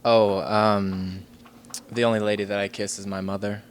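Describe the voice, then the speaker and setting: A man answering casually in a deadpan, tongue-in-cheek, hesitant manner. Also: on the low side, normal speed, a crowd in the background. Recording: faint background room noise.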